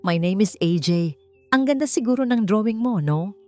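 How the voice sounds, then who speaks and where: A calm, gentle young woman from a spoken storybook, voiced by a woman narrator. She speaks softly and steadily, with a lively lilt that lifts on kind words. She keeps composed under pressure. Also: mid-range voice, normal speed, soft background music, lightly acted.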